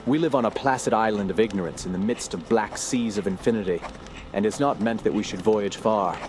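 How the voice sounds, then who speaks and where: An actor playing a grave, brooding gentleman scholar who narrates a horror audio drama in a formal, measured, ominous way. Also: lowish voice, background noise, close to an everyday voice. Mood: grave, foreboding.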